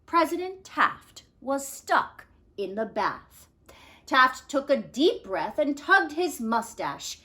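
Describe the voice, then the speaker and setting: A woman reading a picture book aloud in an expressive, animated way with deliberate phrasing. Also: high voice. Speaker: storyteller reading aloud to children.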